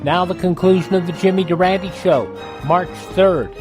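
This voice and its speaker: A man's voice: sits high, moderate pace, over music. An older man hosting a radio show, reading an ad in a rambling, folksy, conversational way. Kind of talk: conversational ad read and show introduction.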